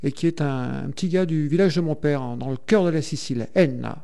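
A man's voice: on the high side, fairly quick, vocal fry, dark tone, clean tone. A man, a singer, chatting as a radio guest, hesitant with many fillers and restarts. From France. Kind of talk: radio interview chat.

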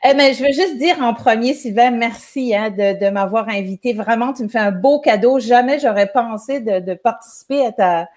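A woman's voice: on the high side, normal speed, hardly any pauses, darkish tone. A woman from Quebec with a Québécois accent, a music teacher telling her story in a chatty, rambling way as a guest on a show. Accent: Québécois French. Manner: chatty, rambling, many restarts. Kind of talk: casual storytelling on a live show.

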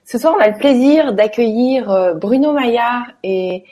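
Animatrice à la voix un peu voilée. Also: female voice, on the high side, a little slow, dark tone. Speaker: host. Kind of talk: casual live web interview.

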